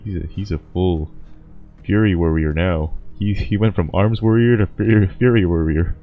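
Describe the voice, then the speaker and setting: A man's voice: low-pitched, normal speed, faint music behind. A man hosting a reaction video with casual, off-the-cuff commentary. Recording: dull lo-fi close dry mic.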